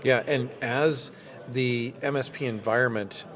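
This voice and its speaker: A man's voice: mid-range voice, fairly even intonation, a little slow, a bit bright. A man hosting an interview at a trade show, conversationally summing up his guest's points. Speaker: interviewer host. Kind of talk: conference booth interview. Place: busy trade show floor. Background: crowd chatter.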